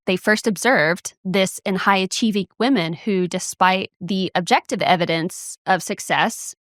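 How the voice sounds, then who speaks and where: A woman's voice: mid-range voice, a bit bright, no room sound, clean studio sound. A woman co-hosting a podcast, friendly and self-deprecating, moving between easy chat and explaining research. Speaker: podcast co-host. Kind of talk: casual chat and reading out research.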